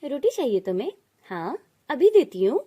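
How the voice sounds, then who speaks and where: Lady playing mean sharp-tongued auntie in a story, highish voice, snappy and scornful. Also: big pitch swings, moderate pace. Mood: scornful anger. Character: cruel, quarrelsome aunt, sharp-tongued young wife.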